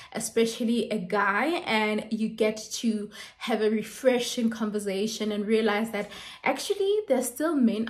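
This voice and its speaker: A woman vlogging outdoors, talking casually and reflectively to her viewers. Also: mid-range voice. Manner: slow, reflective, chatty. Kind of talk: casual talk to camera. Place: outdoors, windy.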